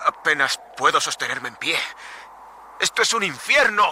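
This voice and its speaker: A man's voice: fairly high pitch, big pitch swings, moderate pace, pushed and bright, slight background noise, full character voice. An actor playing a desperate stranded man in a suspense radio drama, speaking dramatically. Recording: dramatic music behind the voice.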